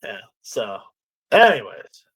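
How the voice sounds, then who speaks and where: A man hosting a live stream in casual chat, reading out viewer comments in a halting way and often repeating words. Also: fairly low pitch, sing-song, fairly bright tone, breathy edge.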